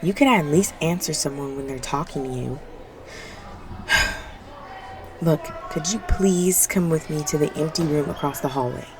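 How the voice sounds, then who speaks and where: A young woman voicing a bratty, bossy popular high school girl in an intimate audio roleplay, whiny and exasperated, with desperation underneath. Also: a little slow, long pauses, noisy background. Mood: exasperated, impatient. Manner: whiny, sighing, pushy.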